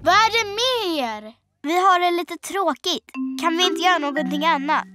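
Curious little baby-doll girl, squeaky high, bouncy. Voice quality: high childlike voice. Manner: excitable, curious. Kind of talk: Swedish cartoon dub. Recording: studio dub over music.

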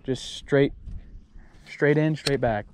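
A young man chatting casually while fishing from a small boat, excited and laughing between catches. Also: mid-range voice, slight background noise. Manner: excited, laughing, quick exclamations. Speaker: fishing vlogger. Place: small boat on a lake outdoors. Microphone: action camera.

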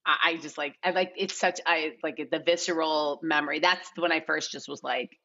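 Bright, low-pitched American woman, dull call audio. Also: brisk. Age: middle-aged. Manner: animated, emphatic, piles up quoted asides. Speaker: guest, TV and film casting director. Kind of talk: casual interview chat. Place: bright living room on a video call.

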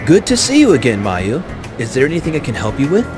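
A man's voice: medium pitch, huge pitch swings, quick pace. An actor playing a friendly, polite young male shop clerk in a visual novel game. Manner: polite, helpful. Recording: music playing behind.

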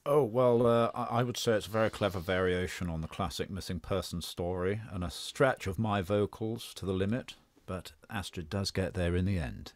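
Guy actor chatting from home setup, deep voice, dry sound. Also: moderate pace, hardly any pauses, no echo. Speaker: actor in an audio drama. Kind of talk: casual chat. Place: child's bedroom used as home studio.

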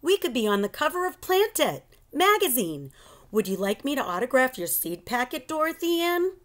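A woman voicing an eager, boastful, excitable schoolboy in a children's storybook. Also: high-pitched, normal speed, voice pushed up. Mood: boastful, excited.

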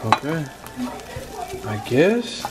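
A man's voice: a little high, big pitch swings, very slow. A man with an American accent chatting casually as an amateur home cook, muttering and repeating himself in bewilderment. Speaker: amateur vlogger cooking at home. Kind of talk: casual vlog chat. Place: small home kitchen, indoors. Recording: kitchen clatter and sizzling noise.

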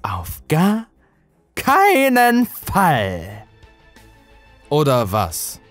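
A sinister, gloating horror villain, taunts in a high, swooping voice. He stretches his words slowly and cackles with menace, over background music. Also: huge pitch swings, no room sound, full character voice. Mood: gloating threat. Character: menacing, gloating sinister man with supernatural power.

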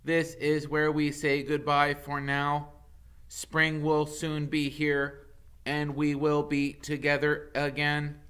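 A man voicing a gentle, protective mother robot in a storybook, speaking in a measured, formal way. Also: a little high, completely flat delivery, clean tone, voice pushed up. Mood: tender. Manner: measured, formal, uncontracted speech.

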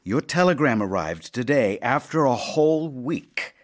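Wry, very animated middle-aged writer played by a man. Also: mid-range voice, a little slow, close to an everyday voice. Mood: eager, affectionate. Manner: playful, wry, theatrical. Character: Anton Chekhov, wry, teasing, affectionate ageing writer. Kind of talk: audio drama acting, letters read as speech.

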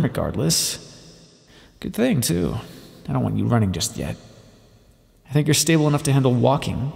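A weary, sardonic male scientist, acted by a man, speaks guardedly in an intimate audio roleplay. His voice is husky and creaky, with dry, halting phrasing. It rings out in a long reverb. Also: mid-range voice, a little mellow, heavily acted. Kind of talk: ASMR roleplay monologue, intimate acting.

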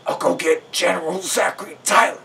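A man voicing a gruff security guard with a rough voice in a story. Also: high voice, fairly even intonation, a little fast, pushed and bright, recorded from a distance, slight background noise, voice pushed up, full character voice.

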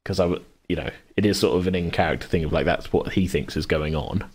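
Male podcast host, very low voice, rambling casual chat with chuckles and self-corrections. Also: fast, darkish tone, breathy edge. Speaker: podcast host and game master.

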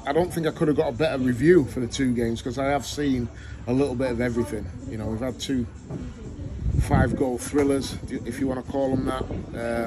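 A man in his thirties, a football manager giving a frank, measured post-match interview, often repeating words as he thinks. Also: medium pitch, low-quality recording. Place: outdoors at a football ground. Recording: loud wind and background noise.

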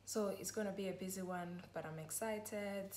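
A young woman chatting to her phone camera in a casual vlog, upbeat and excited about her busy day. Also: mid-range voice, normal speed, a little hiss or hum. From Rwanda. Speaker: real estate vlogger. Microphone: phone camera at arm's length.

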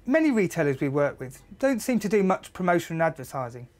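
A man's voice: a little high, very animated, quick pace, darkish tone. A man explaining as a retail adviser in an interview. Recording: faint ambient noise.

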